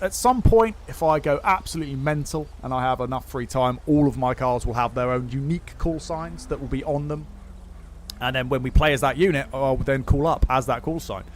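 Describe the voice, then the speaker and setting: A man chatting casually on a game livestream, answering viewer questions with lots of fillers and restarts. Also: medium pitch. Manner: rambling, many fillers and restarts. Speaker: streamer.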